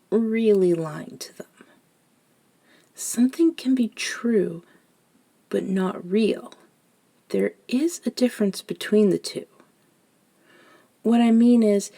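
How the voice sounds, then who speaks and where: A woman voicing a gentle elder vampire in an ASMR roleplay, speaking softly and breathily, often near a whisper, soothing and reassuring. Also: medium pitch. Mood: patient, explaining. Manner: slow, soothing, reassuring, with long pauses. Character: gentle elder female vampire mentoring a nervous young one. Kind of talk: soft-spoken ASMR roleplay monologue.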